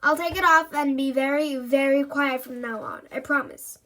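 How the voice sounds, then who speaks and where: A woman's voice: high voice, moderate pace, pushed and bright. A woman voicing an eager, apologetic silly boy.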